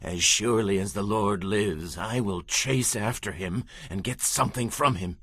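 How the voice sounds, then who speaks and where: An actor playing a sly, greedy servant with a scheming manner in a dramatized audio Bible. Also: male voice, lowish voice, normal speed, a bit bright, husky, dry recording, clean studio sound. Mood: scheming, resentful.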